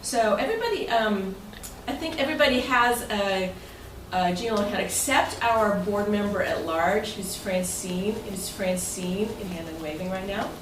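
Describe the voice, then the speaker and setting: A woman's voice: medium pitch, animated, moderate pace, hardly any pauses. A woman giving an informal, chatty and somewhat rambling presentation as president to members at a club meeting. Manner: chatty, rambling, loosely phrased. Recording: roomy, noisy recording from far off.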